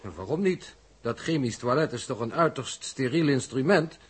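A man's voice: mid-range voice, animated, moderate pace, breathy edge. An actor in a 1960s radio drama playing an earnest scientific crewman who speaks pedantically with small hesitations. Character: earnest, scientific-minded male spaceship crewman.

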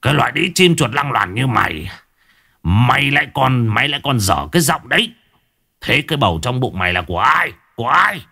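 A man voicing an enraged older village chief who shouts and curses at his betrayers. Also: lowish voice, very animated, moderate pace, vocal fry, pauses often, exaggerated voice. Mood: furious. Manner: furious shouting and cursing.

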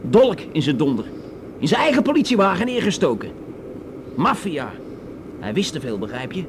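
An actor in a radio play playing a pushy, cagey airline passenger who talks in a blustering, slangy, repetitive way. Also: male voice, a little high, very animated, moderate pace. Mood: agitated, insistent. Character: pushy, cagey male passenger spinning paranoid mafia tales. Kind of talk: Dutch radio play acting. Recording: loud aircraft cabin noise behind.

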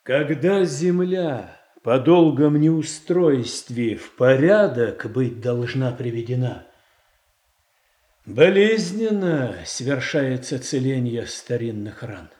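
An actor playing a measured, self-assured statesman in a verse radio play, speaking in a deliberate, formal, declamatory way. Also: medium pitch, lively intonation, a little mellow. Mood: stern, persuasive. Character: measured, self-assured statesman boyar defending his reforms.

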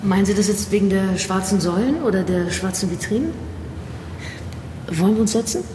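Actress as puzzled interviewer in an echoey hall. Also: female voice, medium pitch, fairly quick, close to an everyday voice. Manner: hesitant, courteous, questioning. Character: polite, puzzled female TV interviewer managing a shoot. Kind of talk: naturalistic German radio drama acting. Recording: echoey hall with clear background noise.

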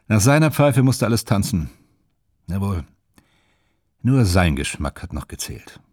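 An actor playing an older film insider who reminisces wryly in halting, musing fragments, with a husky voice, in a radio drama. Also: male voice, sits low, a little mellow, no room sound, clean studio sound. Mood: nostalgic, musing. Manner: halting, musing, short fragments, chuckling asides. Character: old German film insider wryly reminiscing about bygone days.

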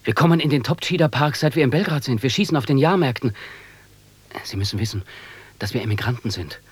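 A young male idealist with a husky, mid-pitched voice. He speaks solemnly and formally, with the fervour of a would-be revolutionary in a radio play. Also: expressive, fast. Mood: earnest, respectful. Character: earnest, idealistic nineteen-year-old male revolutionary. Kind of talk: German radio drama acting.

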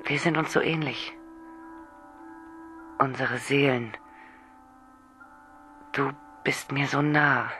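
Hushed, intimate mystery woman in a radio drama, music clearly under her. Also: rumbling low, steady tone, takes it slowly, long pauses, full character voice. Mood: tender. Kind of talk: radio play acting.

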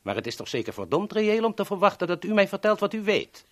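A man's voice: sits high, very animated. An actor playing a courteous, cold-blooded gunman in a 1970s radio drama, speaking formally and with dry politeness. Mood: insistent. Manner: formal, deliberate, drily polite. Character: courteous, cold-blooded male gunman, dryly matter-of-fact.

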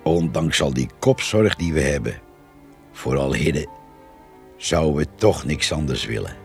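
An actor playing a gossipy, fond country poacher, musing slowly in a gravelly, husky voice in a radio drama. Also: male voice, extremely low pitch, lively intonation, faint music behind, exaggerated voice. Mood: wistful, content. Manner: slow, musing storytelling.

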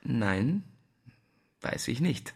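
An idealistic male teacher in a German radio drama. His voice sits at a middle pitch with plenty of gravelly fry. He talks deliberately, earnest and principled, with a dry, guarded edge. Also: sing-song, darkish tone, heavily acted. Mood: wary, reserved. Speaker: school teacher.

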